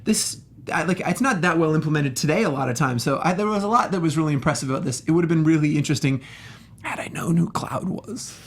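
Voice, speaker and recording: A man's voice: on the high side, animated, fast talker. Male co-host for loose review banter, emphatic and slangy, tends to repeat himself for stress. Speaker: co-host of a movie review show. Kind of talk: casual review chat.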